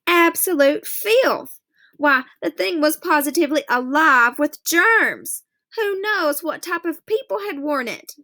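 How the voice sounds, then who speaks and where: Woman reading as a fussy dramatic mother, emphatic, theatrical, higher voice, storybook. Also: lively intonation, unhurried, bright, forceful tone, heavily acted. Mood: disgusted.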